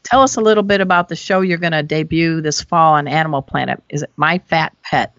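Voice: medium pitch, brisk, fairly bright tone, no echo. Chatty host with a dry, muffled sound. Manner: chatty and jokey, with folksy turns of phrase. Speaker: interview host. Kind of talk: talk show interview.

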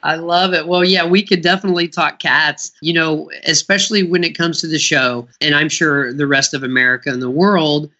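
A man with a bright, somewhat high voice talks as a guest about dog training. He explains things earnestly and keeps slipping in fillers. The recording sounds dull and lo-fi. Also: fairly even intonation, a little fast, few pauses. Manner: earnest, many "you know" fillers. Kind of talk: interview answers, promotional. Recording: muffled lo-fi audio.

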